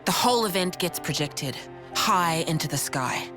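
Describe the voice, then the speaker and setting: An actor voicing a gruff, matter-of-fact, world-weary resistance leader in a video game cutscene. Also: male voice, fairly high pitch, animated, moderate pace, natural voice. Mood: grave briefing. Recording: dramatic game music behind voice.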